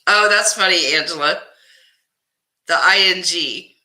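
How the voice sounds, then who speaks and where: A woman's voice: lowish voice, a little slow, pushed and bright, echoey room, low-quality recording. A woman from Kansas with a Kansas accent, hosting a casual live stream, reading viewers' comments and answering them by name, often laughing. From Kansas, US Midwest. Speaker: livestream host reading chat.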